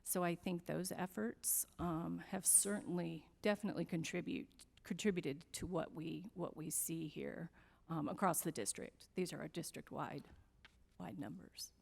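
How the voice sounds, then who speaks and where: A woman's voice: fairly low pitch, steady tone, moderate pace, vocal fry, darkish tone, in a large hall. A woman administrator answering a board's question, hesitant and full of fillers. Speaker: college district administrator. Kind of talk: formal meeting answer.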